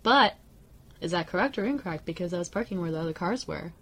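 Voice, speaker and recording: A woman's voice: on the low side, lively intonation, fast, slight background noise. A woman co-hosting a podcast, chatting casually and putting questions to her partner in everyday words. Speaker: podcast host. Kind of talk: casual chat, conversational questioning.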